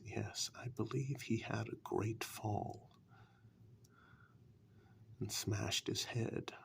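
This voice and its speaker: A man playing a menacing, mocking warlock in a soft-spoken ASMR dark fairytale role-play, with slow, taunting questions and sly reassurance. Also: lowish voice, full character voice. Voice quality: breathy half-whisper with gravelly creak.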